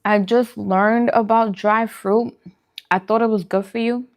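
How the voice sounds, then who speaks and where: A woman's voice: on the high side, fairly even intonation, a little slow. A woman vlogger chatting casually with viewers, giving emphatic, opinionated food advice and repeating phrases for emphasis. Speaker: vegan food vlogger hosting a mukbang. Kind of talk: casual livestream chat answering viewers.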